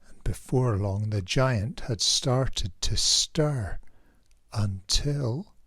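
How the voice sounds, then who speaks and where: A man narrates a rhyming picture book for children at an unhurried pace. His delivery is theatrical and emphatic, with careful measured phrasing. The sound is close and dry. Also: mid-range voice, a bit bright, husky, no echo. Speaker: children's author reading own picture book. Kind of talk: expressive rhyming storybook narration.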